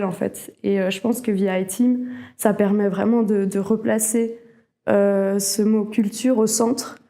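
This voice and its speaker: A woman's voice: mid-range voice, mellow. A woman from Alsace giving a personal testimonial in a reflective, hesitant way, often rephrasing as she goes. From Alsace, France. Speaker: cultural network worker, interviewee.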